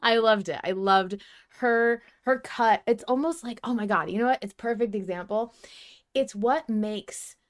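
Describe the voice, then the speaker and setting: A woman's voice: on the high side, measured pace. A woman hosting a podcast in casual fan chat, effusive and given to swearing. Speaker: podcast host.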